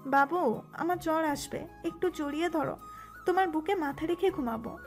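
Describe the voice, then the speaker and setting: Young romantic wife played by a woman, very high voice, pouty, bossy, clingy; audio drama with music bed. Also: moderate pace. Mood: coaxing, affectionate. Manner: pouty, teasing and scolding. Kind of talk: romantic audio story acting. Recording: voice with background music.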